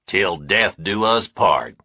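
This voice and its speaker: A man's voice: low voice, animated, creaky, pushed and bright, slightly rough, no echo, clean studio sound, heavily acted. Man voicing a cold, menacing thriller villain. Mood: sardonic menace. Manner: deliberate, threatening. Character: menacing, cold-blooded villain, grown man, angry and sardonic. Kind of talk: audiobook character dialogue.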